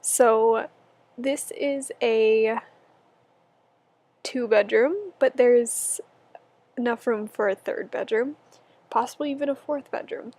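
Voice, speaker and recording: A woman's voice: on the high side, steady tone, slow, pauses often, a little mellow. A young woman in her twenties, a bit stuffy-nosed, chatting casually and giggling as she talks over her building video at home. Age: 20s. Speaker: YouTuber.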